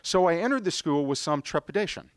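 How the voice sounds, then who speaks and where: A middle-aged man with an American accent telling a rehearsed personal story on stage, with theatrical, deliberate phrasing. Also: fairly high pitch, expressive, clean tone, dry recording, studio quality. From United States. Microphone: stage microphone on a stand.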